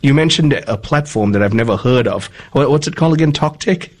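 A male radio host from Malaysia with a mid-range voice who speaks earnestly and chattily. He often restarts his sentences, as in relaxed live conversation. He is on a studio microphone. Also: medium pitch, fairly quick.

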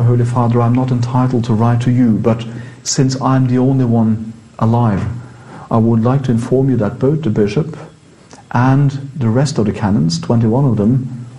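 A male priest with a Norwegian accent and a very mellow, relaxed voice. He talks in an interview, explaining in a conversational way and often stopping to rephrase. His pitch sits in an ordinary male range. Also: rough recording. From Norway.

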